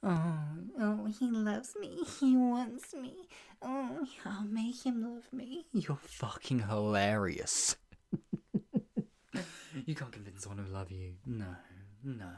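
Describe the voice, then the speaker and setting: A performer playing a possessive, sadistic yandere captor in an improvised ASMR roleplay, mocking and menacing, with a breathy voice that often drops toward a whisper. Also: medium pitch, lively intonation, a little mellow. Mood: contemptuous, possessive. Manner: menacing, mocking, slow drawn-out taunts broken by laughs and sighs.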